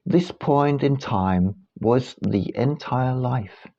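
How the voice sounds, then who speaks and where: A man reading his own book aloud as scripted narration, in a deliberate, emphatic manner with dramatic pauses, on a dull, lo-fi recording. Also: medium pitch, gravelly, dark tone.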